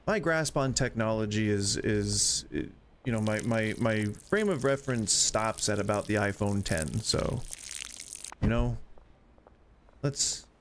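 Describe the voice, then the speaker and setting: Gravelly rambling man in his forties. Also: medium pitch, a little hiss or hum. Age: 40s. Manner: rambling, self-deprecating, chatty. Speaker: gaming streamer. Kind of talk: casual gameplay chat.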